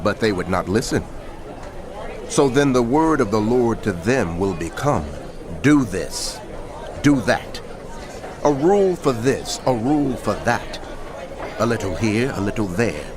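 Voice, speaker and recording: A man's voice: lowish voice, big pitch swings, a little slow, pauses often, crowd noise, noisy background. A man narrating scripture dramatically, in a declamatory and emphatic manner.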